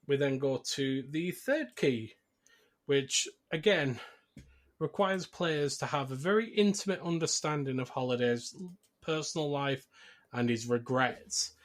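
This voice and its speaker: A man with a mid-pitched voice recaps a film as a podcast co-host. He speaks conversationally, slipping small acted asides into his storytelling.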